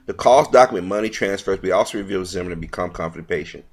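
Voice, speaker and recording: A man with a fairly low voice delivers online news commentary. He reads aloud with some stumbles over the words, and his manner is earnest and opinionated. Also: rapid-fire.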